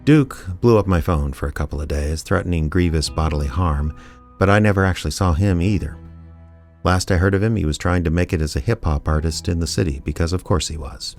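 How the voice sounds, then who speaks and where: An actor playing an older, wry private eye with a dry, sardonic, kindly manner in a radio drama. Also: male voice, sits low, brisk, a little mellow, soft background music. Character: aging wry small-town private eye, gruff but kindly. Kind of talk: radio drama acting with narration.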